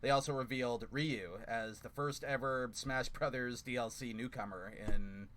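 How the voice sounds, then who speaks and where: A man's voice: medium pitch, normal speed, a bit bright. A man hosting a casual gaming chat on an online call, rambling with lots of fillers and the odd swear. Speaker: podcast host.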